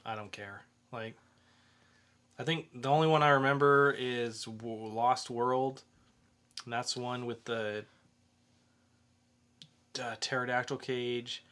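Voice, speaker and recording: A man's voice: medium pitch, fairly even intonation, slow pace, long pauses, fairly bright tone, clean tone. A man co-hosting a podcast, chatting casually about pop-culture news and freely offering opinions. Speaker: podcast co-host.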